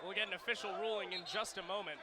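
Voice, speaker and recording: A man's voice: sits high, steady tone, very fast, a crowd in the background, background noise. A young man doing radio play-by-play of a live game in clipped, descriptive phrases. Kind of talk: live sports commentary.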